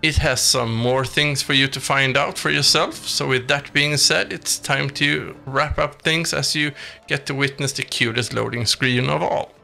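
Bright, creaky-voiced male game reviewer. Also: mid-range voice, moderate pace. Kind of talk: scripted review narration. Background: background music.

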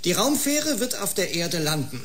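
High-voiced, serious codebreaker. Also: male voice, very animated, moderate pace, fairly bright tone, a little hiss or hum. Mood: urgent. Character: serious male codebreaker reporting an alien message. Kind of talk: German sci-fi radio drama acting.

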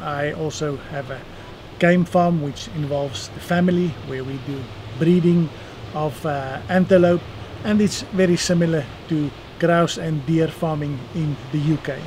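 A man in his sixties with a South African accent, answering interview questions in a measured way with dry humor, on an outdoor terrace. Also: a little high, steady tone, a little mellow. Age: 60s. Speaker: eye surgeon guest. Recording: outdoor noise behind voice.

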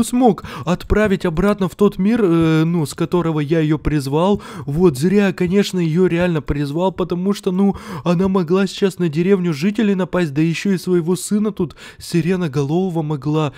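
A man's voice: sits high, moderate pace, hardly any pauses, mellow. A young man doing exclamatory Let's Play commentary, repeating himself and addressing his viewers. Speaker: gaming video host.

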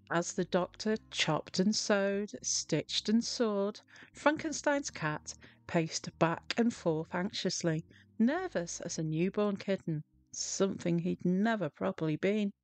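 Slow-paced woman reading a children's story. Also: mid-range voice, dry recording. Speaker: storybook reader. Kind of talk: animated children's storybook narration.

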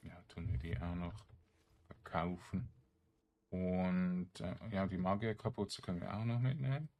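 A man giving casual gameplay commentary, thinking aloud in short, halting phrases. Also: deep voice, fairly even intonation, dull audio. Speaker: let's play streamer.

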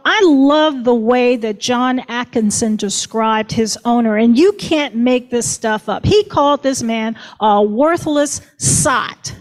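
A woman history professor giving an earnest, emphatic storytelling talk on a panel. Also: fairly high pitch.

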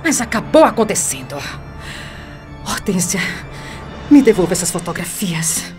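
Proud, desperate Brazilian first lady, played by an actress: melodramatic, widely varied pitch, radio soap with music underneath. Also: very animated, moderate pace, lightly acted. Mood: defeated, bargaining. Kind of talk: radio soap opera acting.

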